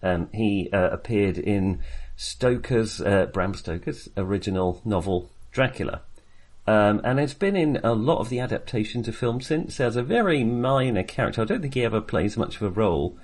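A man co-hosting a film podcast in casual discussion, speaking in a halting, wry and self-deprecating way. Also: on the low side, animated, a little mellow.

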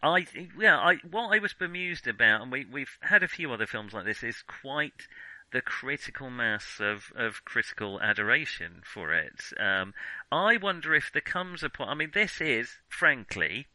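A man with a very bright, sharp voice, recorded close and dry. He talks casually as a film podcast co-host, hedging his opinions and often stopping to rephrase them. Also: medium pitch, very animated, moderate pace, dry recording, low-quality recording. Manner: hesitant, hedging, self-correcting.

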